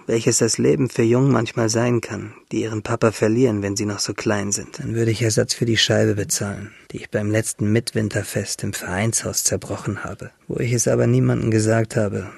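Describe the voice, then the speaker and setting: An actor playing a wistful, tender young shipwrecked seaman in a radio drama monologue, intimate and halting, with a husky voice. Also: on the low side, steady tone, darkish tone, no room sound, studio quality. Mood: reflective. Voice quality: husky, gravelly, breathy.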